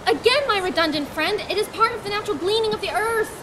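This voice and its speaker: A woman's voice: extremely high pitch, animated, quick pace. A woman reading the part of a proud, prickly male nature writer in an amateur dramatic reading, emphatic and indignant. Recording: outdoor traffic noise behind. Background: traffic noise.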